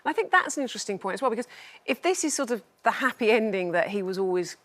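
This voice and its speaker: A woman's voice: mid-range voice, animated, fast, fairly bright tone. A British woman explaining her view in a considered way as an editor on a TV discussion panel. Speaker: newspaper editor, studio guest. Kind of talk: measured TV discussion. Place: television studio.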